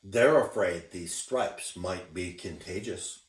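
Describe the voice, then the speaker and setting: A formal, regretful school principal from a picture book, as a man reading aloud puts him on. He speaks slowly and deliberately. The sound is roomy and set back from the mic. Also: low-pitched, far from the mic, a little hiss or hum, pitched below the performer's own voice. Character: stern, apologetic male school principal.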